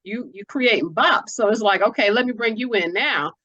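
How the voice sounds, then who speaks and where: A woman's voice: fairly high pitch, normal speed, pushed and bright, dry recording, muffled recording. A woman hosting a casual interview, talking in an encouraging, slangy, conversational way. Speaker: interviewer, host.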